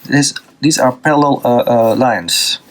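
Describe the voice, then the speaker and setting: A man from Belgium with a Dutch accent, teaching a drawing lesson off the cuff, pausing often with fillers and restarts while he draws. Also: mid-range voice, faint music behind. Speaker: art teacher. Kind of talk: unscripted drawing tutorial.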